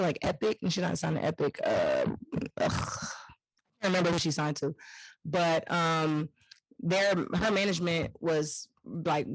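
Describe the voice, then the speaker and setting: A woman with a deep voice, a singer-songwriter guest talking in a relaxed, rambling way on a podcast. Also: no echo, rough recording. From family from Jamaica. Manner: relaxed, rambling, laughs easily. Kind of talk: casual interview chat.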